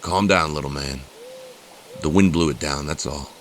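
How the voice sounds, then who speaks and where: An actor playing a big burly fraternity man in an audio horror drama, terse and gruffly calm. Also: extremely low pitch, moderate pace, slight background noise.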